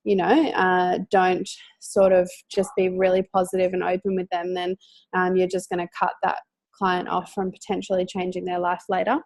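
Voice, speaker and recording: Flat-voiced woman sharing advice. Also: fairly low pitch, moderate pace. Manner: flat, full of fillers. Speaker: call participant. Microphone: video call.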